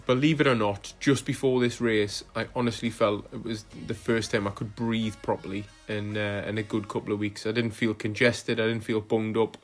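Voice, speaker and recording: A man narrating footage of his own rides as a cycling vlogger, relaxed and hesitant, with frequent fillers. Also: fairly low pitch, moderate pace, hardly any pauses, faint music behind. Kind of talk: casual voice-over narration of ride footage.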